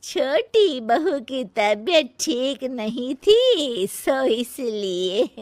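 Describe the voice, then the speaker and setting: A woman voicing an older, concerned mother-in-law in a cartoon family story, speaking in a fussing, drawn-out way. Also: high-pitched, big pitch swings, no echo, studio quality, exaggerated voice. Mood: polite, explaining.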